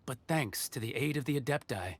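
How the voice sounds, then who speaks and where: A man's voice: mid-range voice, lively intonation, a little fast, lightly acted. A man voicing an earnest armored sergeant. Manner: formal and dutiful. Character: earnest, loyal male soldier sergeant in armor.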